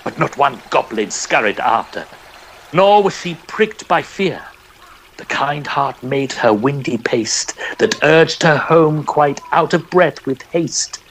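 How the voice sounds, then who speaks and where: A man with a fairly high voice reads dramatic verse at a slow pace. His delivery is theatrical, in old-style radio storytelling fashion, over clearly audible background noise. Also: expressive, fairly bright tone, rough recording. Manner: theatrical, varied emphasis. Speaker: podcast narrator.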